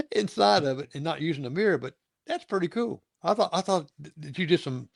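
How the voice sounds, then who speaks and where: A man's voice: fairly high pitch, expressive, normal speed, vocal fry, dry recording, studio quality. A man from North Carolina hosting a casual interview, rambling with frequent chuckles and restarts. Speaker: host.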